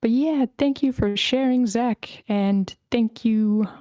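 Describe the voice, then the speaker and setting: A woman with a smooth, clear voice hosts an online meeting in a relaxed, informal way. Her tone is mellow and the sound is dry and close. She is a little hesitant as she talks, and accommodating with the people taking part. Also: fairly high pitch, slow, no echo. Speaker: host of an online screenplay table read. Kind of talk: casual meeting hosting. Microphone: close microphone on an online voice call.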